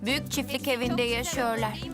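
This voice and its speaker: A woman acts a chatty cartoon little boy with a high, childlike voice. He sounds eager and chirpy, with music clearly heard behind him. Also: fairly even intonation, normal speed, close to an everyday voice.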